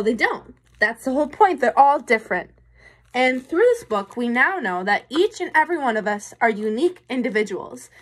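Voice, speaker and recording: Lively, slightly husky young woman reading aloud. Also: fairly high pitch. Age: young adult. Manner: questioning, explanatory, addressing young listeners. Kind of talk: children's picture book read-aloud with asides. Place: small room indoors.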